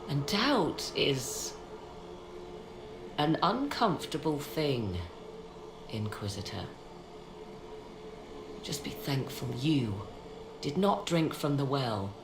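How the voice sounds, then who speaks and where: Very low-voiced, proud sorceress. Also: female voice, very animated, full character voice. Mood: grave, troubled. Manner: formal, deliberate, theatrical phrasing. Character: proud, guarded sorceress, a worried mother. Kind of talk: dramatic video game dialogue. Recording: echoey, noisy game scene capture.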